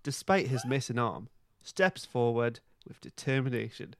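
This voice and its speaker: A man's voice: mid-range voice, expressive, normal speed, a bit bright, no room sound, studio quality. A man hosting a podcast, reading script narration aloud in an amused way. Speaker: podcast host.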